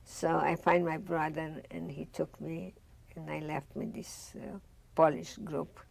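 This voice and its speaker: A woman's voice: low voice, little pitch movement, unhurried, creaky, poor audio. An older woman from Bessarabia with a Russian accent, telling her life story in a seated studio interview and acting out the dialogue she remembers. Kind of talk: oral history interview. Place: studio with dark curtain backdrop, seated.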